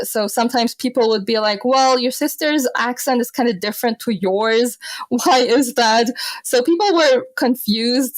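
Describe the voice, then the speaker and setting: A woman in her twenties who grew up in Austria, telling stories as a podcast guest, animated and often laughing. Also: on the high side, no room sound. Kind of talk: casual podcast interview.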